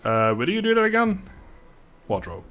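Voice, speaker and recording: A man's voice: on the high side, huge pitch swings, normal speed, fairly bright tone. A man casually commentating a video game on a live stream, chatting with viewers in a rambling, good-humoured way.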